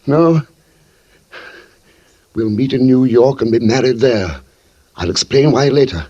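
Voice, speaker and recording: An actor playing a meek, henpecked middle-aged botanist with quiet murderous schemes, mild and measured in 1940s radio suspense style. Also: male voice, medium pitch, slightly rough. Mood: secretive, tender. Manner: mild, deferential, dryly measured. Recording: muffled old radio recording with music.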